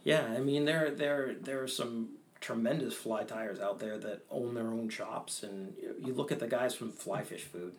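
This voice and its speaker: A man's voice: medium pitch, normal speed, few pauses. A young man from Maine, a fly tyer, chatting as a guest in a casual interview with a humble, talkative manner and frequent restarts.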